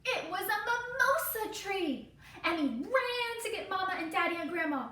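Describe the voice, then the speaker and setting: A woman teacher reading a children's storybook aloud with expressive, dramatic, deliberate phrasing. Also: extremely high pitch. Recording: roomy, distant mic, faint noise.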